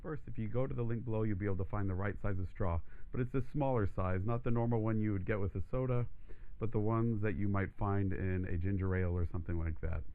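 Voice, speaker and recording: A man teaching a voice lesson, explaining steps calmly and steadily, his voice croaky from allergies. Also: fairly low pitch, fast, phone-quality sound. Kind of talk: instructional demonstration.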